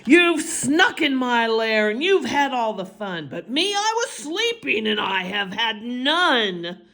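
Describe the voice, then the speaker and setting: A woman voicing a big, grumpy bear who feels left out, complaining loudly in a storybook read-aloud. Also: fairly high pitch, sing-song, slow pace, voice pushed up, full character voice. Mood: aggrieved.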